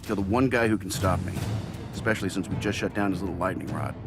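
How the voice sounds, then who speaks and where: A remorseful, cynical weapons genius for a video game. He speaks in a lowish register with flat-out matter-of-fact dryness, over clearly audible music. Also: fast talker. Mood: grim, dry. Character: cynical, guilt-ridden genius weapons inventor, dryly defiant.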